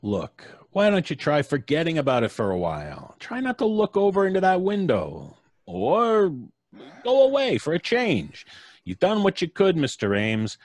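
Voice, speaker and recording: An actor playing a skeptical, blunt, streetwise police officer in an old-time radio suspense drama, talking colloquially. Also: male voice, on the high side, wildly swooping pitch, normal speed, darkish tone, no room sound. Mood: dismissive. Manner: colloquial, wry, placating.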